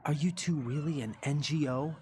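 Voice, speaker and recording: A man's voice: fairly high pitch, moderate pace, a little mellow, a little hiss or hum, close to an everyday voice. A man playing an earnest, questioning young special-forces soldier in a video game. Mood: skeptical. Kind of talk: video game cutscene acting.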